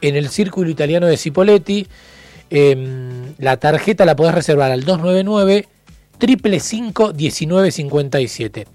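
Higher-voiced Argentine man hosting radio. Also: lively intonation, normal speed, darkish tone, no echo, soft background music. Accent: Argentine Spanish. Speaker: radio show host. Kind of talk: casual on-air chat and announcements.

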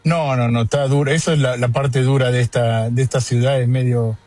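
A man's voice: medium pitch, fairly even intonation, brisk, darkish tone, dry recording, soft background music. A man with an Argentine Rioplatense accent, chatting casually on a video call, joking and rambling with fillers. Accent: Rioplatense Argentine Spanish. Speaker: interviewee. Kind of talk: casual conversation.

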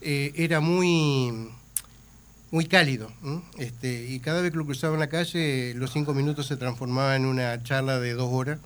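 A man's voice: medium pitch, normal speed. A man with an Argentine Spanish accent and a flu, talking as an advertising guest in a rambling way with many fillers. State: has the flu. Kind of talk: casual radio chat.

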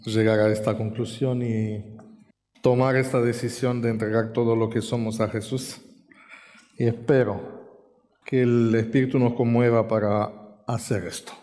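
A man from Romania, Romanian by birth, preaching a sermon in Spanish with an Argentine accent, reflective and pausing with fillers, lifting his voice when he reads scripture. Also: mid-range voice, warm tone. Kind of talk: sermon with Bible reading. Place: church hall. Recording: long reverb in a large hall.

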